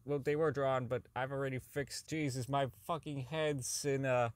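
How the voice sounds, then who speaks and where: A man's voice: medium pitch, fairly even intonation, moderate pace, no echo, close to an everyday voice. A man playing a socially inept, unravelling robot designer in an audio drama log, rambling, stammering, swearing and repeating himself. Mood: scattered, muttering.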